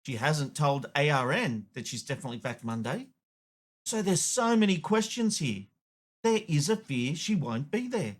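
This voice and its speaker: A man's voice: fairly high pitch, sing-song, normal speed. A man hosting a show, delivering opinionated, emphatic editorial commentary. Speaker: show host, media commentator.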